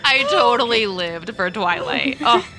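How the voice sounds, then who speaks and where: An actress playing an excitable, gossipy young woman at an office in an audio drama, gushing, slangy and dramatic. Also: a little high, measured pace, noisy background. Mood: giddy.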